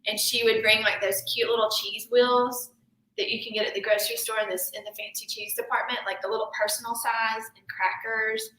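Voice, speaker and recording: A woman co-hosting a casual chat, animated and quick to act out quotes. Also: a little high. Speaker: podcast co-host. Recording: echoey room.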